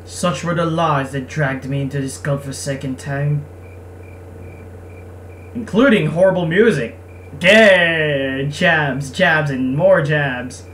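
A man's voice: on the high side, takes it slowly, a bit bright, slight background noise. Young man doing a casual game playthrough, echoey room. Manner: joking, swears, mock-exasperated asides. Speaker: let's player reading a visual novel aloud. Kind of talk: casual gaming commentary and read-aloud narration. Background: game music.

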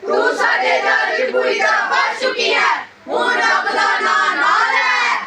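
Young female performers playing taunting, menacing social media villains in a stage play, shouting their lines. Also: extremely high pitch, fairly even intonation, measured pace, heavily acted. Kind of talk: school stage play declamation. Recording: loud crowd and noise, stage recording.

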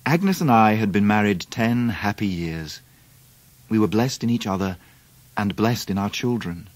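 Gentle English gentleman, lowish voice, dry close sound, literary radio drama narration. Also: expressive, unhurried, no room sound. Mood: reflective, tender. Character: grown gentleman recalling his life, gentle, earnest, devoted.

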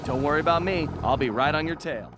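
A man's voice: a little high, quick pace, background noise, natural voice. A man playing a wisecracking raccoon master thief in a video game, with a laid-back, wry manner. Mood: confident teasing. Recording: music behind the voice.

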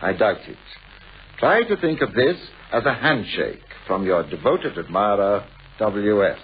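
A man's voice: on the low side, animated, a little slow, slight background noise. An actor playing a wry, self-doubting male novelist in a 1960s radio play, urbane and wordy. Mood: reading aloud, measured. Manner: urbane, wordy, wryly complaining. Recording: muffled, raspy old radio recording.

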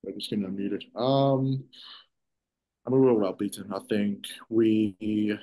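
A man's voice: on the low side, fairly even intonation, mellow, low-quality recording. A man in his thirties, a soccer defender, answering postgame press questions over a video call, stopping and restarting his sentences often. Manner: halting, many restarts and fillers. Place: press room in front of sponsor backdrop.

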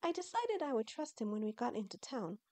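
An excitable young fox girl from an audio story, acted out by a woman narrator. Her voice is high and mellow. She speaks with eager, earnest emphasis. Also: fairly quick.

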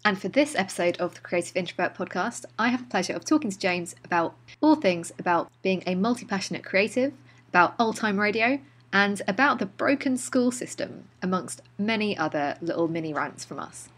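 A woman's voice: medium pitch, expressive, fairly quick, fairly bright tone, slightly rough. A woman hosting a podcast, speaking in a casual, conversational interviewing style.

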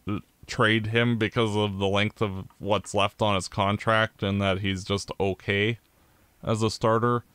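A Canadian man co-hosting a podcast, giving blunt opinions in casual sports chat, with halting restarts. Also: on the low side, level tone, fairly bright tone, smooth, no echo. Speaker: podcast co-host.

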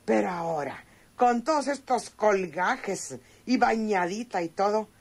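A woman's voice: medium pitch, lively intonation, measured pace, a little mellow, breathy edge. An actress playing a sly, folksy older country woman with a rural northern Mexican accent in a radio serial. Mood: coy, chatty. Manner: folksy, teasing rustic speech. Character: sly, plain-spoken older country woman, newly dressed up.

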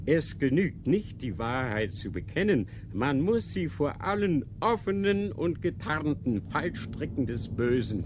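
Slow, stern church inquisitor. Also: male voice, a little high, a little hiss or hum. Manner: measured, didactic, rhetorical questioning. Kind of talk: literary radio drama acting.

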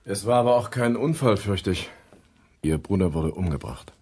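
An actor playing a matter-of-fact male local police inspector in a German radio crime drama, with a husky voice. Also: lively intonation, moderate pace, a little mellow. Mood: sober. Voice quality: husky, very deep.

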